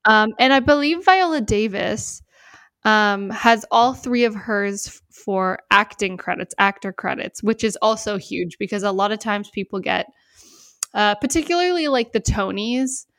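A woman's voice: medium pitch, expressive, unhurried, a bit bright, no echo, studio quality. A young adult woman co-hosting a casual film and TV podcast in an informal, upbeat, appreciative way. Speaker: podcast co-host.

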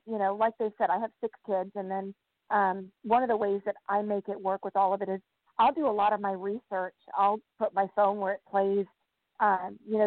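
A woman's voice: medium pitch, no room sound. A middle-aged woman talking casually as a podcast-host guest in an interview. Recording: dry phone-like call audio.

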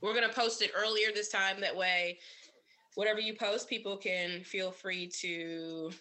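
Woman co-host with a bright, forceful voice, relaxed and joking, slightly buzzed, says um a lot. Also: medium pitch, unhurried. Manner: teasing, laughs easily, many ums. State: a little tipsy. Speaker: podcast co-host. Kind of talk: casual chat.

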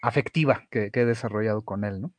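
A man's voice: on the low side, fast talker, dry recording. A man co-hosting a casual podcast, agreeable and a bit hesitant. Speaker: podcast co-host. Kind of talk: casual discussion.

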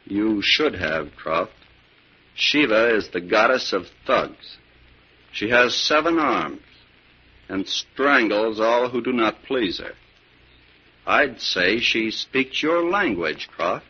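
An actor playing a suave, scheming young heir in a 1940s radio drama, with a theatrical, calculating manner. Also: male voice, fairly low pitch, animated, slow, breathy edge, heavily acted. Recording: muffled old 1940s radio recording.

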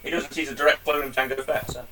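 A man's voice: mid-range voice, steady tone, fast talker, pushed and bright, far from the mic, slight background noise, low-quality recording. A man co-hosting a casual review podcast, chatty and jokey, often calling his co-host by name.

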